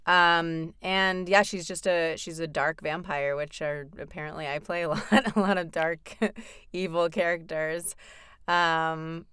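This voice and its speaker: A woman's voice: on the low side, steady tone, measured pace, a bit bright, smooth, dry recording, studio quality. A woman voice actor, talking casually as an interview guest, chatty with frequent fillers.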